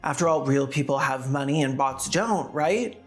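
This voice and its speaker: A woman's voice: rumbling low, moderate pace, soft background music. A woman narrating scripted video commentary in a sardonic way, with casual asides. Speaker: video essayist and host.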